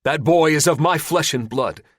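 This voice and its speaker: A man voicing a furious male federal agent held captive, shouting threats. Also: a little high, normal speed, no room sound, studio quality, pitched above the performer's own voice, lightly acted. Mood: demanding.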